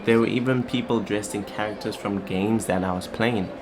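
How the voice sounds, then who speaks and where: A man's voice: on the low side, steady tone, normal speed, very noisy. A young man narrating a scripted vlog. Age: young adult. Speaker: vlogger.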